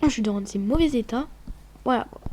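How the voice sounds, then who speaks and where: A woman's voice: on the high side, lively intonation, fast, a little mellow, a little hiss or hum. A woman with a cold, sniffling as she chats casually over her gameplay, rambling and often dropping to a whisper. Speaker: gaming vlogger.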